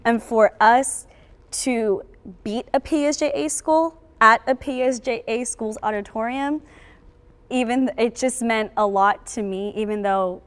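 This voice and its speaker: A woman's voice: on the high side, clean tone. A young woman giving reflective interview answers in an earnest, emphatic way as a member of a drama cast. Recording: echoey, long room reverb.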